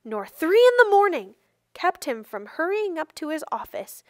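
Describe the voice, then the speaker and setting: A woman reading a children's picture book aloud expressively, with deliberate phrasing and emphatic stresses. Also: extremely high pitch, big pitch swings, dry recording. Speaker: storybook reader.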